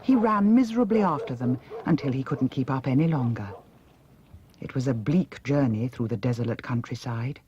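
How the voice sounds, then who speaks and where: A woman with a British accent reading a children's story as an expressive audiobook narrator. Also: very deep voice, moderate pace, dull audio, crowd noise. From United Kingdom.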